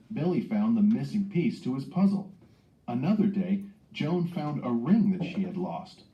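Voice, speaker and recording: Animated male storybook narrator, dull echoey sound. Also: medium pitch. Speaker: read-aloud narrator. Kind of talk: scripted children's storybook narration. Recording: dull lo-fi playback in echoey room.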